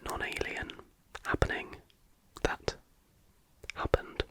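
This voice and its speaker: A British ASMR host whispering casual, chatty reaction commentary in a hushed, informal, rambling way. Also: slow.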